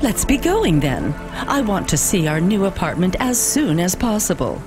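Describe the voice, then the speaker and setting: A posh snobby society lady, lowish voice that swoops all over, fussy, music behind. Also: very animated, normal speed. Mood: haughty. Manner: affected, pompous. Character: snobbish, chatty upper-class society lady, fussy and condescending.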